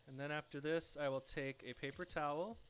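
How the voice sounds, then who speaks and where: A soft-spoken man chatting casually with viewers as he cooks and cleans up in his home kitchen, reading chat messages aloud. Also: a little high, a little fast, slight background noise. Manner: relaxed, chatty, talks to viewers while working. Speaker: streaming home cook.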